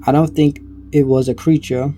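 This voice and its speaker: A man giving casual reaction commentary, reading aloud haltingly and stumbling over words between offhand remarks. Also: a little high, flat delivery, mellow, faint music behind. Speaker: reaction video creator.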